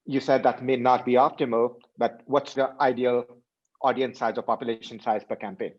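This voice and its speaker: A man's voice: medium pitch, level tone, normal speed. A man hosting an interview over a video call, asking questions and recapping his guest's points with frequent affirmations. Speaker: host. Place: indoor room by a window. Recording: slightly dull video call audio.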